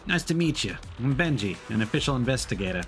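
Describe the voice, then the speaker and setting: A man's voice: medium pitch, very animated, fast talker, soft background music. A man voicing a polite, earnest official investigator from a video game.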